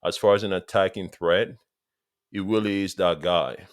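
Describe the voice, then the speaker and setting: Deep-voiced man with a Congolese accent. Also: normal speed, no room sound, clean studio sound. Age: 30s. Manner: eager, talks straight to viewers, repeats points for emphasis. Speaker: football vlogger. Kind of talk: casual opinion vlog.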